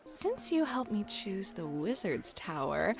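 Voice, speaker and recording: A woman narrating a fantasy roleplay as game master, in a playful, teasing, expressive manner. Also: mid-range voice, slow pace, gravelly, faint music behind.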